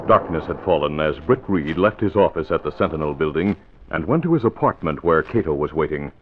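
Old-time serial narrator, deep voice, dramatic scripted read, narrow tinny radio sound. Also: male voice, lively intonation, a little fast, background noise. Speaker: announcer and narrator. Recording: tinny vintage radio recording.

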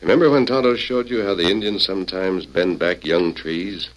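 A very deep male voice in old radio western style. An actor plays the resolute hero and leader, calm, measured and authoritative as he hands out instructions. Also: lightly acted.

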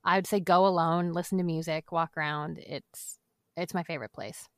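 A woman's voice: fairly low pitch, fairly even intonation, normal speed, dry recording. Chatty woman, dry close sound. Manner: chatty, hesitant with many fillers. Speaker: podcast guest. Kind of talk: casual chat.

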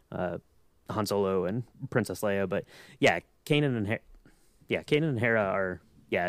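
A man on a podcast panel giving his opinions in casual, halting discussion. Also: low voice, no echo.

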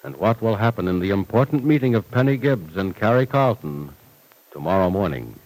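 Animated vintage male radio announcer. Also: on the low side, fairly quick, rough recording. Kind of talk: scripted vintage radio announcing and sponsor pitch. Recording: vintage radio broadcast recording.

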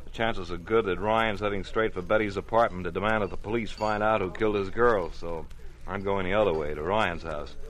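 Low, steady American police lieutenant. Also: male voice, brisk. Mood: calm, businesslike. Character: steady, plain-spoken male police lieutenant. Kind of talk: 1940s radio drama acting.

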